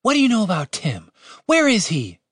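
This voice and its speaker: A woman's voice: low voice, sing-song, moderate pace, no room sound, clean studio sound, voice pushed up. A woman voicing a brash, foul-mouthed young elf woman in an audiobook, blunt, sarcastic and demanding. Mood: demanding, urgent.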